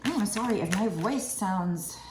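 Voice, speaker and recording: A woman in her thirties with a raspy, tired voice, giving a casual, rambling tarot card reading at a table indoors. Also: medium pitch, animated, moderate pace, echoey room, noisy background. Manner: chatty, rambling, with many fillers. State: raspy from lack of sleep. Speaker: tarot reader.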